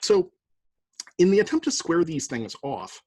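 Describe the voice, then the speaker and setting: A man's voice: fairly high pitch, sing-song, measured pace, a little mellow. A man with a Canadian accent giving a university lecture in a conversational, anecdotal way, full of fillers and rhetorical questions.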